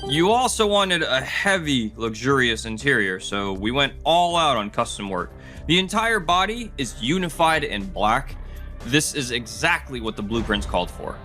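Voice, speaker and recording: A man's voice: mid-range voice, big pitch swings, normal speed, sharp tone. A man playing a polite, composed junior jet builder in a manga dub, courteous and earnest, with music behind him. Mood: earnest, explanatory. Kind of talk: dramatic manga dub voice acting. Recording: music bed under the voice.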